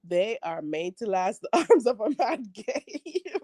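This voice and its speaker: A woman's voice: on the high side, warm tone, no echo, clean studio sound. A woman hosting a casual live chat, reading viewers' comments aloud. She is stuffy and a bit nasal, laughs often and talks bluntly. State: stuffy nose. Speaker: livestream host reading chat.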